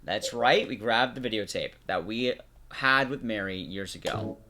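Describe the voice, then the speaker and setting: A man's voice: lowish voice, normal speed, background noise. A man giving casual video game commentary, explaining things to the viewer in short phrases.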